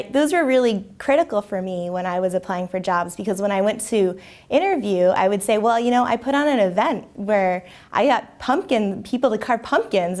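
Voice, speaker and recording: A woman's voice: medium pitch, a little mellow. Upbeat young American woman, MBA student. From United States. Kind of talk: testimonial interview.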